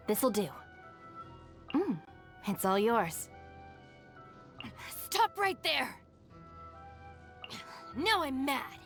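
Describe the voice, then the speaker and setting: A woman's voice: a little high, a bit bright, exaggerated voice. An actress voicing a capable, no-nonsense young female gang deputy in a video game, matter-of-fact and dryly confident. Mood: businesslike, composed, then fierce, battle-ready. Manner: matter-of-fact, composed, brisk. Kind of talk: video game character voice lines.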